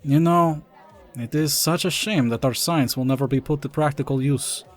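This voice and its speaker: A man's voice: a little high, normal speed, soft background music, some chatter behind, close to an everyday voice. A man playing a scheming, money-minded Soviet weapons scientist in a comic dub.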